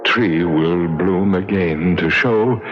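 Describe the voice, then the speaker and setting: Doomed ghost for vintage radio drama: deepish, solemn, pleading, theatrical, unhurried. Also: male voice. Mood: grave, ominous. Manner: slow, solemn, poetic theatrical delivery. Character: mournful, doomed male ghost, pleading and ominous. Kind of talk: 1940s radio acting. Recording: muffled old radio broadcast with loud music.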